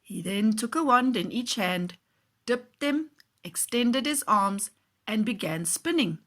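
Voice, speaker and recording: A woman with a high voice reads a children's storybook aloud like a nursery teacher. Her delivery is deliberate and unhurried, with lively swings in pitch. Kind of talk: expressive children's storybook reading.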